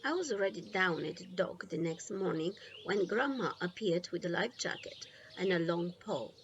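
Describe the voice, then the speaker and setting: A woman reading a children's storybook aloud in an expressive, deliberate way, leaving pauses between phrases. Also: fairly low pitch, dull audio, slight background noise. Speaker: storybook reader.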